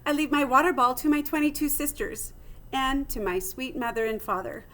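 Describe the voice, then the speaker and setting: A woman plays a little male hamster diarist from a children's storybook. The voice sits fairly high. He is plucky and dramatic, telling his tale with earnest, theatrical expression. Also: normal speed, fairly bright tone. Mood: gloomy, melodramatic. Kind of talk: storybook read-aloud in first person.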